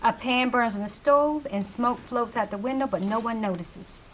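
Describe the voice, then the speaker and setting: A woman's voice: fairly high pitch, normal speed, slight background noise, rough recording. A woman narrating a play by reading its stage directions aloud from a script.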